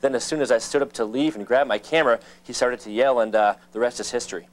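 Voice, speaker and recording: An American man reporting television news in a measured, emphatic newscaster delivery. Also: mid-range voice. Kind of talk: broadcast news reporting, scripted and live.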